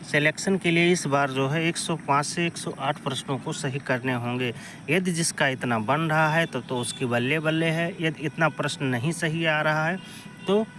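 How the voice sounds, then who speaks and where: Male coaching presenter, slightly high voice, repetitive step-by-step explaining, background street noise. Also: a bit bright. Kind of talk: informal explanatory exam analysis addressed to students.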